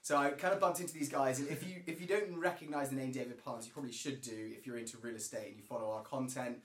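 A man's voice: mid-range voice, steady tone, fast talker. A man hosting a casual interview podcast, eager and rambling with lots of fillers. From England. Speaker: podcast host. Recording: roomy echo.

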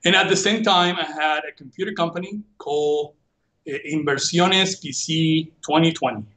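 A man in his forties from Venezuela, speaking English with a Venezuelan Spanish accent, telling his story as a guest in a relaxed, self-deprecating way. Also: fairly high pitch, normal speed. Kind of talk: casual conversation.

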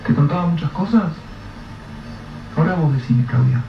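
A man's voice: a little high, lively intonation, moderate pace, vocal fry. A man playing an anxious, evasive colleague who is trying to shield a grieving widow. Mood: guarded. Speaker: supporting character. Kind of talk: radio drama acting. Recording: distant, roomy, dull, noisy radio-play recording.

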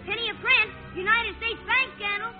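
Extremely high-pitched news hawker. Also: female voice, fairly even intonation, normal speed, faint music behind. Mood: urgent, hawking. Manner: calling out, repetitive sing-out. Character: shrill street news vendor hawking papers. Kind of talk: old-time radio drama acting. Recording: dull old radio recording.

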